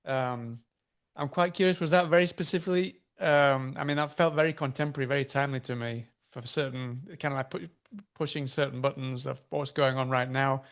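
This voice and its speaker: A man's voice: mid-range voice, steady tone, brisk, a bit bright. A man hosting an interview, asking curious, rambling questions with frequent restarts. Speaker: interview host.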